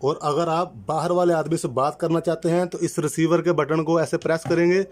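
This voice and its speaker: Higher-pitched man giving step-by-step instructions. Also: little pitch movement, brisk. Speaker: electrician presenting a how-to channel. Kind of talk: step-by-step product installation tutorial.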